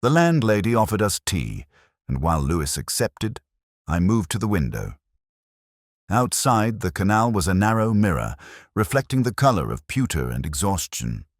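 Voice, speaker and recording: A man playing a weary, middle-aged police inspector who narrates his case in a measured, literary, brooding manner. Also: low voice, very animated, dry recording, studio quality. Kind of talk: scripted first-person detective audio drama narration.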